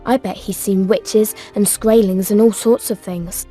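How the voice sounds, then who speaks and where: A woman's voice: mid-range voice, fairly even intonation, a little slow, warm tone, natural voice. A woman playing a bold, headstrong, adventurous young girl in a video game. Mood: hopeful, excited. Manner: eager, headstrong. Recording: music behind the voice.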